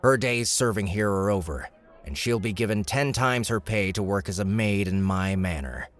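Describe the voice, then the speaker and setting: Man acting a deep-voiced, smug young nobleman. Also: normal speed, close to an everyday voice. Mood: stern, commanding. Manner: glib, formal, commanding. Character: young male noble, shrewd, smug and commanding. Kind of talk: audiobook character dialogue.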